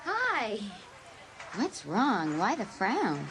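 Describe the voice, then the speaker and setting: An actress playing a resentful American high school senior girl in a dramatised audiobook. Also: huge pitch swings, very slow, background noise, exaggerated voice. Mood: curious, chatty.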